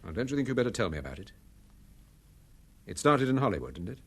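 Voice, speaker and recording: Gentleman detective, breathy-edged male voice, lively intonation, courteous yet probing, radio drama. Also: lowish voice, quick pace. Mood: firm, probing.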